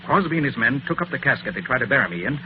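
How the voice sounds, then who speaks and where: An actor playing a confident, sharp-witted master detective in an old radio drama, explaining things in a brisk, assured way. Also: male voice, medium pitch, big pitch swings, lightly acted. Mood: brisk, intent. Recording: muffled, hissy old radio recording.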